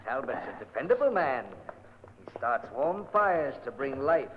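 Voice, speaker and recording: An actor playing an imperious, morbid aristocrat in old-time radio horror style, with theatrical, curt commands. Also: male voice, mid-range voice, big pitch swings, unhurried. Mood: morbid, brooding. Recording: muffled noisy radio recording, long hall reverb.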